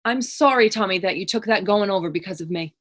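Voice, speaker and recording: A woman's voice: medium pitch, fast, a bit bright, close to an everyday voice. A woman playing a plainspoken, teasing but compassionate woman in readers theater, speaking frank, folksy dialect. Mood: sorrowful, indignant. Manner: folksy, frank questioning in archaic Irish dialect. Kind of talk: readers theater dramatic dialogue.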